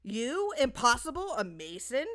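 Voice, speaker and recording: A woman's voice: on the high side, sing-song, unhurried, fairly bright tone, pitched above the performer's own voice. A woman voicing a drunken, stubborn and boastful nobleman in a storybook reading. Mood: incredulous.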